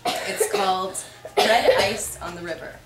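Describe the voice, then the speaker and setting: A woman giving a measured, reflective live reading of poetry. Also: mid-range voice, a bit bright. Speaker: poem reader. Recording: echoey room with faint noise.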